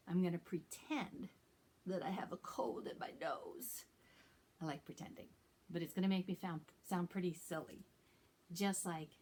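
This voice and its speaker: Slow-paced woman hosting children's storytime. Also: on the low side, darkish tone, slight background noise. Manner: simple, deliberate phrasing with questions to young listeners. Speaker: children's librarian and storytime host. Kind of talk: children's storytime presenting and read-aloud.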